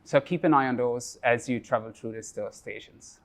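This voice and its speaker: A man presenting a project update in a measured, scripted way, reading prepared remarks. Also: medium pitch.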